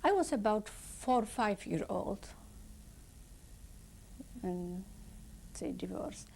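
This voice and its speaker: A woman in her seventies from Poland with a Polish accent, giving halting, slow oral history testimony in non-native English. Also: medium pitch, lively intonation, a little mellow, a little hiss or hum. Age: 70s. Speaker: interviewee.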